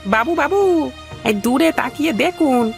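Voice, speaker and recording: Cartoon male sidekick , played by a woman: high, gravelly, servile and eager, light music underneath. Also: animated, normal speed. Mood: eager, deferential. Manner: deferential, eager to report. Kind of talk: cartoon voice acting.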